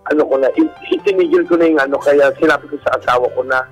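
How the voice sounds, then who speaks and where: A man's voice: on the high side, wildly swooping pitch, fast, soft background music. A man with a Filipino accent speaking politely and casually as a guest sharing personal experiences. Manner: polite, using respectful particles. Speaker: guest telling their own story.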